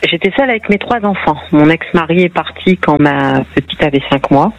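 A woman's voice: sits low, expressive, brisk, vocal fry, dry recording. A middle-aged woman from northern France telling her story on a radio phone-in, acting out the dialogue as she goes. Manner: chatty, tells story with quoted dialogue. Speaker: caller. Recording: muffled phone-in line on radio.

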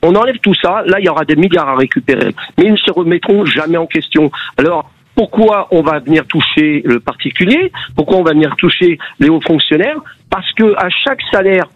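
A man's voice: a little high, animated, fairly quick, dry recording. An older man from the Paris region, calling in to a radio debate, indignant and groping for words. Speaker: caller. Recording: muffled phone line.

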